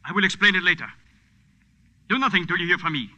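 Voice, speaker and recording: An actor in a 1940s radio drama playing a cornered, frightened villain forced to obey. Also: male voice, high-pitched, fast, sharp tone, muffled recording. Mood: tense, forced.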